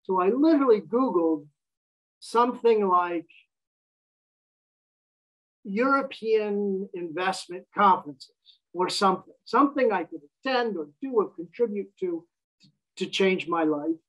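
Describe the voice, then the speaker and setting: A man's voice: high-pitched, animated, a little slow, long pauses, a bit bright, poor audio. Wry American man in his fifties. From United States. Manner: wry, self-deprecating storyteller. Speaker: guest. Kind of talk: casual interview conversation.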